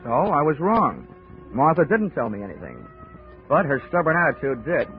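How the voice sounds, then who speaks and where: A man's voice: a little high, very animated, moderate pace, faint music behind. An actor playing a wisecracking, hard-boiled male insurance investigator, sardonic and tough, in 1940s radio noir style. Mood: wry narration. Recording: tinny 1940s radio recording.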